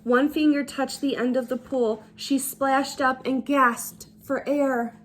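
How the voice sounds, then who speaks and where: A woman storyteller reading a children's book aloud with expressive, deliberate, dramatic phrasing. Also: high-pitched. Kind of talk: expressive read-aloud narration.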